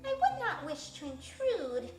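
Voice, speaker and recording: A woman's voice: high-pitched, big pitch swings, a little slow, pitched above the performer's own voice. A woman voicing a timid, anxious mother mouse in a storybook reading. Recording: echoey room, faint music.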